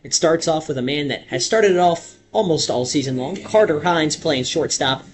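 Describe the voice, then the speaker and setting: A young man calling baseball play-by-play in a radio commentary style, stretching big moments dramatically. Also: on the high side. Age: young adult. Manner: dramatic, drawn-out calls on big plays.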